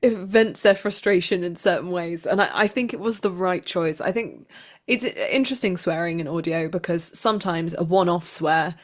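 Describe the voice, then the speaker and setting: A woman being interviewed as an actor, talking casually in a chatty, expressive way. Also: on the low side, normal speed.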